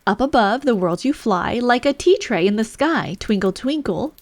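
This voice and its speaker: A woman's voice: fairly high pitch, expressive, normal speed, dry recording, natural voice. A woman voicing an eccentric male hat-maker, haughty and mournful, in a storybook reading. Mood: expounding.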